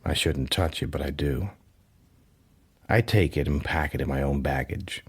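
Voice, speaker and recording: An actor playing a haunted, introspective man who narrates his dark private thoughts in an intimate first-person radio drama. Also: extremely low pitch, moderate pace, breathy edge. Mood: wistful. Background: music.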